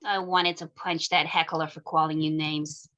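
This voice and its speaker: A woman playing a spirited, devoted high school girl in a script table read, with expressive, emotional delivery. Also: moderate pace, dull audio. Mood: indignant, affectionate.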